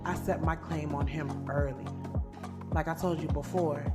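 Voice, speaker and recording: A woman's voice: deep voice, fairly even intonation, normal speed, over loud music, natural voice. A woman playing a bold, frank schoolteacher, narrating an audio drama in a conversational, slangy, confiding way. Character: bold, frank, strong-willed adult woman schoolteacher narrating her love life. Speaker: narrator and lead actor in an audio drama series. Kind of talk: first-person dramatic narration, conversational and streetwise.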